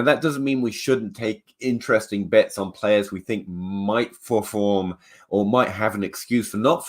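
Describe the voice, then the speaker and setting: A man with a fairly low voice hosts a podcast and lays out numbers step by step. He is measured and a bit dry, and his nose sounds slightly congested. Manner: measured, data-driven, dry humor. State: stuffed-up nose. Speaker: fantasy football podcast host. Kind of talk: analytical podcast talk.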